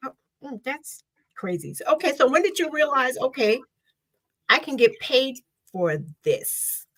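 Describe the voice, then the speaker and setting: A woman's voice: high-pitched, sing-song, measured pace, fairly bright tone. A woman hosting an interview, affirming and encouraging her guest. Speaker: host. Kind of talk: conversational interview.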